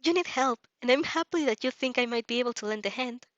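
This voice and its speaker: A woman voicing a shy, kind teenage boy in an audio fan story, gentle and reassuring. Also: a little high, quick pace, no echo, dull audio, close to an everyday voice.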